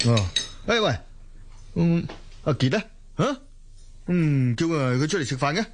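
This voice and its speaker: A man's voice: a little high, expressive, a little slow, background noise. A man playing a short-tempered middle-aged father in a Cantonese audio drama, scolding and blustering with many interjections. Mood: hungry, casual.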